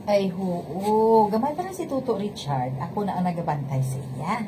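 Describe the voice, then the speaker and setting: A woman's voice: medium pitch, big pitch swings, moderate pace, background noise, close to an everyday voice. A woman playing a devoted, protective middle-aged household nanny in a radio drama, talkative, with a Hiligaynon Filipino accent. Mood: fond, concerned. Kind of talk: radio romance drama acting.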